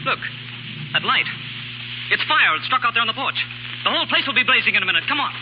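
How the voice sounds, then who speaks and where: An earnest young lad, nervous and deferential, with a high voice that rushes along in old radio drama style. The broadcast sound is muffled. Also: male voice, lively intonation, heavy background noise. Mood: panicked. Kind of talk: 1930s radio drama acting.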